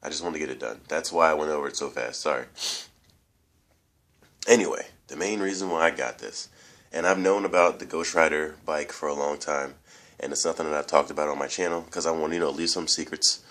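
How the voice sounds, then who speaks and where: Very deep-voiced congested young man. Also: fairly quick, a bit bright. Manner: rambling, loose, repeats fillers and addresses viewers as guys. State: congested, nasal from allergies. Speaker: toy reviewer. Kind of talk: casual toy review vlog. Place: room at home, indoors.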